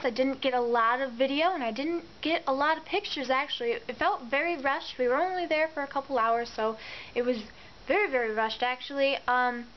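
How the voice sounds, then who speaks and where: A woman's voice: fairly high pitch, dull audio, a little hiss or hum. A young woman narrating her travel vlog in a chatty way, often repeating words for emphasis. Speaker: vlogger.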